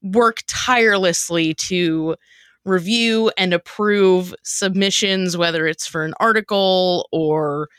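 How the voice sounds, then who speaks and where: A woman's voice: on the low side, takes it slowly, a bit bright, smooth, no room sound, studio quality. A woman co-hosting a podcast in a casual group chat, with a wry, joking manner. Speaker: podcast co-host.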